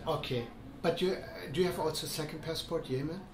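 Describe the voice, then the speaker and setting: A man interviewing a musician, asking halting questions with frequent restarts. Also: fairly high pitch, reverberant, a crowd in the background. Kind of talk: casual interview. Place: music club after a show.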